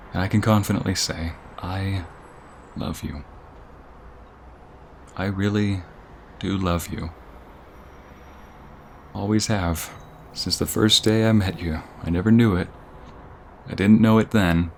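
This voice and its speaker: A man's voice: deep voice, a little hiss or hum. A man playing a devoted, sentimental boyfriend in a romantic ASMR roleplay, speaking intimately and earnestly. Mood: earnest, pleading. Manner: intimate, earnest, often hushed and breathy.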